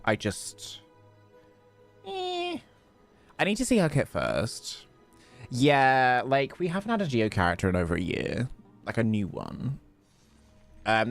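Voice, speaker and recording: A man streaming a game, chatting casually and rambling while answering chat questions. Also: mid-range voice. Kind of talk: casual gameplay commentary.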